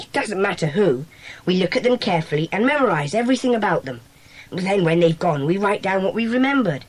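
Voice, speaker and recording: Woman playing a lively, bossy schoolboy club leader. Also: medium pitch, close to an everyday voice, poor audio. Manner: brisk, commanding, organising. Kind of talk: dramatised children's audiobook acting.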